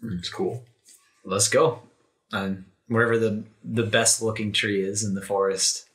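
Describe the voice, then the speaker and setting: A man's voice: fairly low pitch, little pitch movement, measured pace, fairly bright tone. A man chatting casually as a player in a tabletop roleplaying game. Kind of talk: casual chat.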